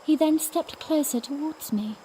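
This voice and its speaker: A woman playing a spirited, tender young princess who recounts her adventure in expressive storybook phrasing for an audiodrama. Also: high voice, moderate pace, slight background noise, lightly acted. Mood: earnest, wistful. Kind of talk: first-person audiodrama narration with acted dialogue.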